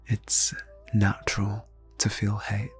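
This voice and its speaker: A man leading an ASMR guided meditation in a soft, breathy near-whisper, phrasing slowly and soothingly with long pauses. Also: on the low side, completely flat delivery, faint music behind. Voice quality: breathy, hushed.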